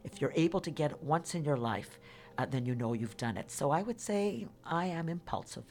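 An older woman being interviewed on a radio show, talking in an animated, emphatic way with a faintly noisy background. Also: low voice, a little fast. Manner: animated, emphatic, spiritual-minded. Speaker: guest.

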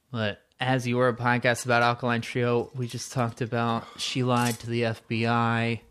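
Man, podcast host, casual, joking, mid-pitched voice. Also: a bit bright, clear, resonant tone. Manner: laid-back, jokey, swears casually.

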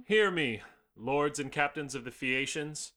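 A commanding and gracious king, played by a man, addresses his captains in classical radio drama style. His delivery is slow and stately, in a slightly high male voice, with loud music in the recording. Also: lightly acted. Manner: stately, measured oratory. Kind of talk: theatrical classical verse drama.

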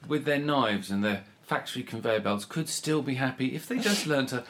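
A man in his forties co-hosting a podcast in casual chat, teasing his friend and trailing off quietly at the ends of thoughts. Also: medium pitch, moderate pace, slight background noise. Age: 40s. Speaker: podcast co-host.

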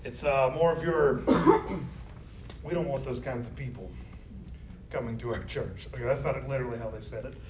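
A man's voice: medium pitch, moderate pace, long pauses. A man teaching a Bible study class, talking conversationally and often asking the group questions. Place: classroom or church meeting room. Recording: roomy, distant, dull lo-fi with faint noise.

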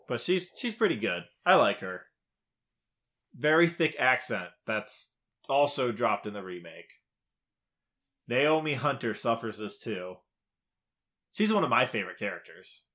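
A man's voice: medium pitch, big pitch swings, unhurried, pauses often, bright, forceful tone. A man co-hosting a podcast, chatting casually about a video game in an informal, opinionated way. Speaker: podcast co-host.

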